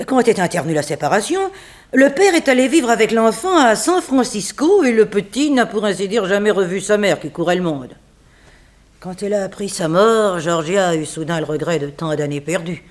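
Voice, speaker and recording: A woman playing a composed, unsentimental figure in a 1960s French radio mystery, telling things dramatically with weighted pauses. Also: medium pitch, expressive, natural voice. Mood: somber. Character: composed, unsentimental woman recounting a family tragedy. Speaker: actress in a radio serial.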